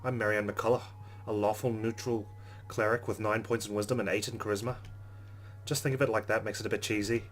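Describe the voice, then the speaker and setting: A man's voice: fairly low pitch, a bit bright. A man hosting a let's play, reading a game's narration aloud and adding his own commentary.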